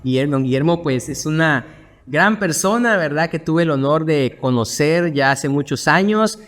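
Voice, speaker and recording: Higher-voiced guatemalan pastor hosting. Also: male voice, lively intonation, moderate pace, big-room sound. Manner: warm and welcoming, formal in address. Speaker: pastor and podcast host. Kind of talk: conversational podcast interview.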